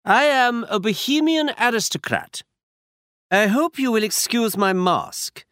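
A man's voice: extremely high pitch, lively intonation, measured pace, fairly bright tone, no room sound, studio quality, pitched above the performer's own voice, full character voice. A man reading a story aloud and voicing a large, masked, anxious foreign nobleman who speaks with a German accent in a stiff, formal manner.

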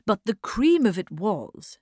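A woman voicing a jocular upper-class gentleman, pompous and declamatory, with a drawl. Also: medium pitch, sing-song, measured pace, no room sound, studio quality. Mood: relishing anecdote.

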